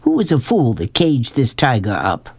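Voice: medium pitch, huge pitch swings, normal speed, breathy edge, no room sound, full character voice. A narrator voicing a blunt, devout, weary Southern woman in an audiobook, folksy and emphatic, with a Southern US accent. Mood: awed, indignant. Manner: folksy, emphatic, matter-of-fact.

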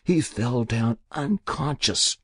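A man voicing an elderly woman quietly confessing to a long-ago murder, in a raised, lively voice. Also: medium pitch, slow, slightly rough, dry recording, clean studio sound, pitched above the performer's own voice, full character voice. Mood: pained.

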